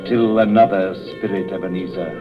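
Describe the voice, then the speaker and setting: An actor playing a solemn, aged ghost in a 1930s radio drama. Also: male voice, low voice, steady tone, moderate pace, close to an everyday voice. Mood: solemn, fading. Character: solemn, aged male ghost nearing his end. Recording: muffled 1930s radio broadcast, music behind.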